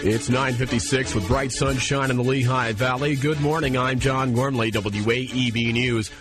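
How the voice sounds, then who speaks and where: A man's voice: mid-range voice, fairly even intonation, normal speed. An American man announcing as a radio news anchor in 1980s AM newscast style. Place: radio studio. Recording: 1980s AM radio aircheck with music.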